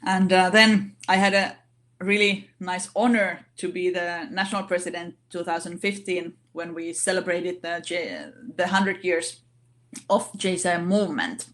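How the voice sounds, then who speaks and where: A woman speaking English as a non-native language, giving an online session talk in a halting way with many fillers and restarts. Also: lowish voice, fairly bright tone. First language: not English. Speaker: organization vice president giving a talk.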